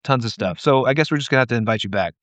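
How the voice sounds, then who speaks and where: A man co-hosting a podcast in casual interview chat, relaxed and a little hesitant as he talks. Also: medium pitch, very fast, no room sound. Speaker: podcast co-host.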